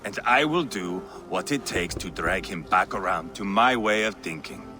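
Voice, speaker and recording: An actor playing a boastful, battle-hungry Viking warrior in a video game, blunt and swaggering. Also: male voice, low-pitched, expressive, moderate pace, over music. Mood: earnest, fierce. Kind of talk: video game cutscene acting.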